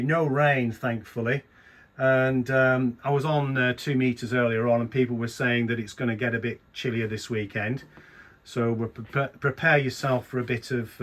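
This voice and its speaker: Man chatting casually, medium pitch. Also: a bit bright. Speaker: amateur radio operator. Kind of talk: casual radio contact chat.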